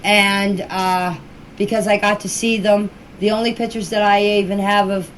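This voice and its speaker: A woman's voice: mid-range voice, flat delivery, normal speed, slight background noise, low-quality recording. An older woman answering questions informally in a plain-spoken, insistent, repetitive way, recorded as an amateur voice clip. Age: older, grandmother. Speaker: relative speaking out about a missing children case.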